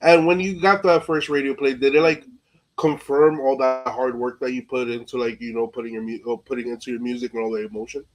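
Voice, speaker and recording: A man's voice: mid-range voice, fairly even intonation, a little fast, soft background music, low-quality recording. A man hosting an interview podcast in casual, slangy speech, often dropping in "like" and "you know". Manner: informal, peppered with "like" and "you know". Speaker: podcast host.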